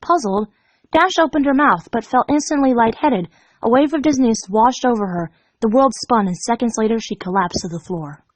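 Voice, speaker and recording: High-voiced woman reading a story expressively. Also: normal speed, no echo. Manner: animated, dramatic reading. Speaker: fan fiction reader. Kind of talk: expressive storybook narration of fan fiction.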